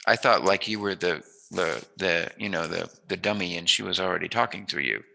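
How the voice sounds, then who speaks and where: A man hosting a casual, joking interview, rambling and teasing and quick to laugh. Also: deep voice, gravelly, bright, forceful tone, rough recording. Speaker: interviewer, host.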